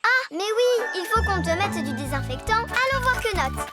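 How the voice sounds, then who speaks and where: A woman playing a lively, impulsive, self-assured little girl in a French children's cartoon, childlike and expressive. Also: very high voice, moderate pace. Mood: bossy, helpful. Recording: children's music playing behind.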